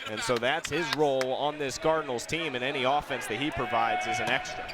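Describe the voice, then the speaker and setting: American male play-by-play man over loud gym noise. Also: mid-range voice, brisk. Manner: raises voice on big plays. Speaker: play-by-play volleyball commentator. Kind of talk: live sports commentary. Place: indoor sports arena during a match. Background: loud arena noise, balls bouncing.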